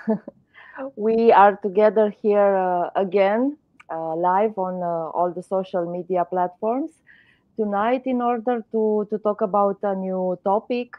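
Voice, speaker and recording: A woman's voice: mid-range voice, fairly even intonation, unhurried, no room sound. A woman hosting a live online interview, hesitant with many fillers. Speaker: host of a live stream. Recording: dry, muffled lo-fi sound.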